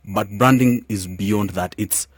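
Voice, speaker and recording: A man with a Kenyan accent, a guest in a radio studio, explaining branding in a measured way. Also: lowish voice, husky, no room sound.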